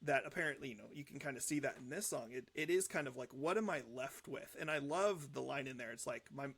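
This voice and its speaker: A man's voice: medium pitch, fast, vocal fry, a bit bright, slightly rough. A young man hosting a music reaction show, talking casually in an earnest, reflective, rambling way. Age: young adult.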